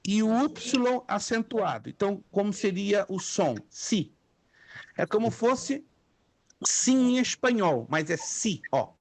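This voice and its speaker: High-voiced man teaching, dry close sound. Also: animated, slow. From Brazil. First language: Spanish and Hebrew. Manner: patient, repeats words for students to echo. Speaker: theology teacher. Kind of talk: online class lecture.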